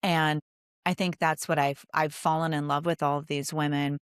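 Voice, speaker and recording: A woman's voice: sits low, flat delivery, moderate pace, smooth, no room sound, clean studio sound. A woman hosting a podcast interview, speaking conversationally in an earnest, effusive way. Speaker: podcast host.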